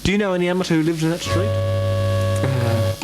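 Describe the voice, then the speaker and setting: A man's voice: fairly high pitch, expressive, normal speed, over loud music. A man chatting casually as an amateur radio operator, rambling and hesitant, often repeating himself.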